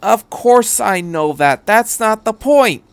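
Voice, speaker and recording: A man's voice: high voice, lively intonation, slow pace, clean tone, no echo, voice pushed up, exaggerated voice. A man reading fan fiction aloud as an impatient, commanding woman captain, barking and exasperated in clipped phrases. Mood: angry, exasperated.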